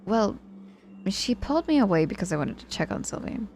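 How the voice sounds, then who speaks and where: A woman's voice: medium pitch, big pitch swings, a little fast, a little mellow, a little hiss or hum. A woman playing a sardonic but caring young witch in an intimate ASMR audio roleplay, speaking casually and teasingly with feigned reluctance. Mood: wry, chatty.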